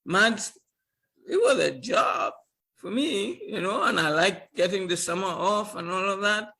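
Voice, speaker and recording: Older man, podcast guest, high voice, animated storyteller who chuckles and repeats himself. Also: a little slow, gravelly, husky. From Trinidad and Tobago. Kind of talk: casual storytelling interview.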